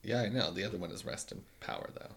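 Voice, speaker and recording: A man's voice: fairly low pitch, quick pace, creaky, a bit bright, slightly rough. A man co-hosting a podcast, teasing his partner with dry humor in casual banter. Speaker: podcast co-host.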